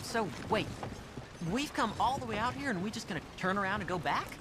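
A man's voice: high-pitched, animated, fairly quick. A young male actor playing a polite, reluctant, bookish boy, a rancher's son in a western video game, speaking meekly. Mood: complaining. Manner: meek, polite. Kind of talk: video game character acting. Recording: game dialogue over wagon noise.

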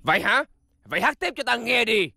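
A man's voice: extremely high pitch, big pitch swings, a little fast, creaky, bright, forceful tone, no room sound, exaggerated voice. A man voicing a vain, bossy little cartoon alien villain, imperious and snappish. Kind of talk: cartoon dub acting.

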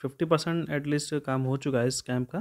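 A man's voice: medium pitch, steady tone, fairly quick, over loud music. Chatty Indian man vlogging outdoors. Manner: chatty, repeats "so doston", shows each step. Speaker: camping vlogger. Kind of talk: casual how-to vlog. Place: open hillside meadow outdoors.

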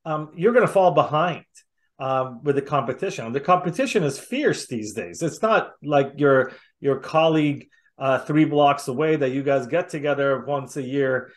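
A middle-aged man hosting a casual interview on a video call, talking haltingly and repeating words as he goes. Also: medium pitch, animated. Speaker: interviewer, host.

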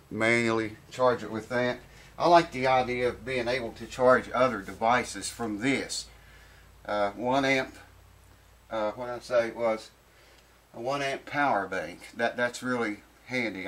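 A middle-aged man giving a casual hands-on review to camera, informal and unhurried, thinking aloud as he goes. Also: mid-range voice, a bit bright. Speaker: product reviewer. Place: small cluttered indoor workshop room.

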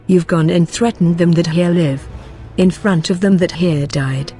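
A woman's voice: fairly low pitch, measured pace, warm tone, natural voice. Woman playing a knowing, admonishing cove guardian. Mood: ominous, admonishing. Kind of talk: motion comic voice acting. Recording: music clearly under the voice.

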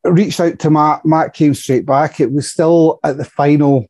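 A man presenting on a video call, steady and explanatory, with some fillers and restarts. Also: on the high side, measured pace, no room sound. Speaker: specialist pharmacist. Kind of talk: panel presentation.

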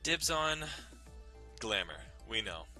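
Man voicing a teasing young trainee, forceful. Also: on the low side, sing-song, takes it slowly, faint music behind, heavily acted. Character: teasing, challenging young hero trainee sparring partner.

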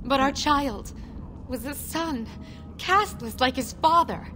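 A woman's voice: sits high, expressive, measured pace, pushed and bright, slight background noise, heavily acted. An anxious outcast dwarf mother. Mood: sorrowful. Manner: earnest, confiding. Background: game music.

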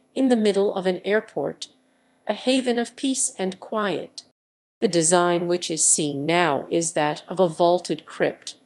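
Even synthetic woman reading an article. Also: mid-range voice. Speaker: narrator. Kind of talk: text-to-speech article reading.

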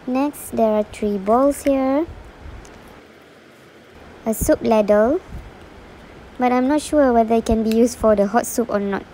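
A woman from Malaysia narrating a casual product unboxing in a plain, unhurried way, naming each item one by one. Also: fairly high pitch, mellow, slight background noise, poor audio. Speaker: unboxing reviewer. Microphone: voice-over, speaker not seen.

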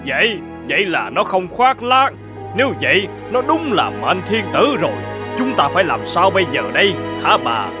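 High, excitable cartoon mandarin. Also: male voice, moderate pace, music in the background. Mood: alarmed. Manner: indignant, blustering, exclaiming. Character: pompous, excitable middle-aged male court mandarin. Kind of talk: animated folk-tale cartoon acting.

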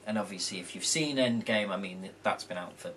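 Young male co-host, deep and croaky, unhurried, rambling, faint background noise. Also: a bit bright. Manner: rambling, self-correcting. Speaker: co-host vlogger. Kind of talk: casual film review chat. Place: bedroom at home.

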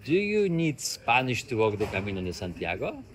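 A man's voice: medium pitch, very animated, normal speed, a crowd in the background. Encouraging male street interviewer. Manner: encouraging, asks short questions.

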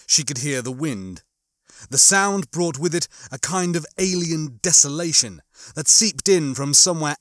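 A man reads a suspenseful sci-fi horror story as an audiobook narrator. His voice sits slightly high, and he paces the drama with measured, expressive phrasing. The sound is very dry and close, with no room around it. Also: no room sound.

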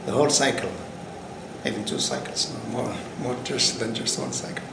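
Gravelly-voiced man from Morocco, hesitant. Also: medium pitch, very animated, moderate pace. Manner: hesitant, many fillers and restarts. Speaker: agricultural researcher. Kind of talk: interview answers. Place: indoor room with curtain backdrop. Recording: roomy sound with air-conditioning hum.

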